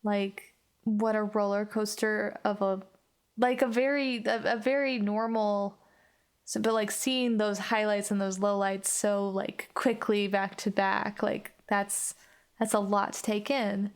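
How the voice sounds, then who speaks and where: A woman with an average-pitched voice speaks at an unhurried, slow pace. She is a player on a tabletop podcast, chatting casually and thoughtfully. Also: steady tone, clear, resonant tone. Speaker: player on an actual play podcast. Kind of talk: casual, reflective game chat.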